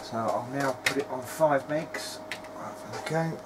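Man muttering at a noisy workbench. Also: mid-range voice. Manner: muttering to himself in short, halting remarks. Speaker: hobbyist presenter of a video diary. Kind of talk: casual running commentary while testing electronics. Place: small cluttered indoor electronics workbench. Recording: clear background noise in workshop.

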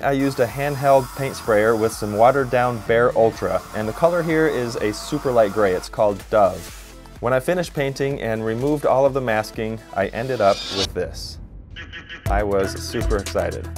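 A man in his thirties, host of a DIY channel, narrating his build in an upbeat, self-deprecating way. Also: mid-range voice. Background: faint background music.

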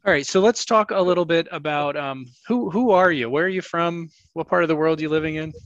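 A man's voice: a little high, fairly quick, a bit bright, no echo, lo-fi sound. A man in his forties hosting an interview, asking questions in a friendly, steering way over a headset on a video call. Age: 40s. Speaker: interview host. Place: home room with shelves, indoors.

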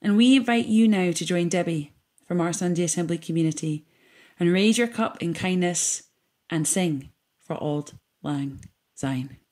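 A woman reading a scripted, reflective piece with poetry for a community gathering in a measured, earnest way. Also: lowish voice, a little mellow.

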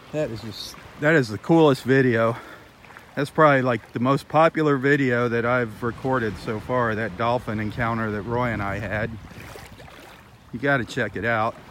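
A man hosting a casual live nature vlog in an easygoing, chatty, marveling way. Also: mid-range voice. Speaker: host of a live beach walk. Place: outdoors on a sandbar at a beach. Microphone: handheld phone camera. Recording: noisy outdoor audio with lapping water.

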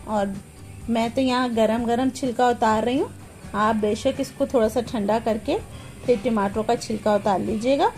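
Woman, cooking channel host, slightly high voice, patient step-by-step explaining, home kitchen, soft music underneath. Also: fairly even intonation. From Pakistan. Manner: plain, homely, explains each step patiently.

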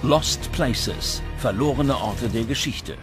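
A man's voice: mid-range voice, slow pace. Male documentary narrator over prominent music. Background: background music.

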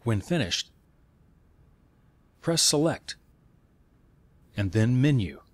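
Male instructional narrator with lively intonation. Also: mid-range voice, slow pace, no echo. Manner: clear step-by-step phrasing.

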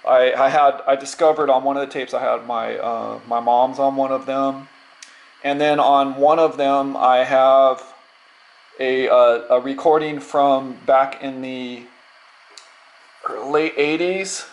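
A middle-aged man giving a casual unscripted show-and-tell, halting and rambling, often repeating words as he goes. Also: mid-range voice, steady tone, highs cut off. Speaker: vlogger.

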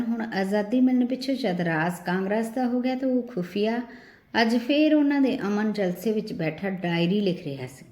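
A woman reading a literary story aloud in an expressive narrating style. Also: medium pitch. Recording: roomy, echoing voice recording.

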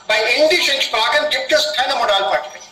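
A man's voice: very high voice, a little fast, bright, forceful tone, roomy sound, recorded from a distance, faint music behind, poor audio. A man, a professor whose first language is Indian, talking hesitantly in a discussion group, often repeating and restarting words. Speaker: guest professor. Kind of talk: online discussion group conversation. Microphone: video call.

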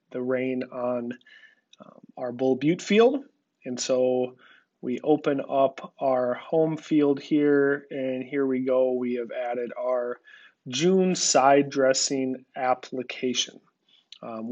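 A man giving a relaxed, conversational screen-recorded walkthrough of farm software, with frequent filler words. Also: medium pitch, highs cut off. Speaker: software company representative. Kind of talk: screen-recorded product demo.

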